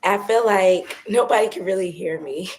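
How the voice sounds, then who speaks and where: A young woman with a raspy, hoarse voice from a cold, chatting casually on a phone live stream outside by her patio and keeping her voice soft. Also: medium pitch, normal speed, a little hiss or hum. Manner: talks softly, holding back her voice. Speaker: vlogger doing a live stream. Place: outdoors by her patio at home.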